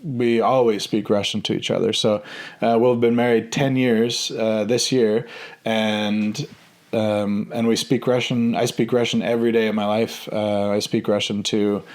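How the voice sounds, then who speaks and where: A man in his thirties telling a personal story in a relaxed, hesitant way, full of ums and restarts. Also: on the low side, a little slow. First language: English. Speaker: vlogger.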